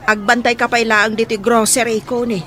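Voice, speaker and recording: A woman playing a bossy but warm-hearted shopkeeper aunt in an Ilocano radio drama. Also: a little high, flat delivery, normal speed, a faint crowd, close to an everyday voice. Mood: kindly, coaxing.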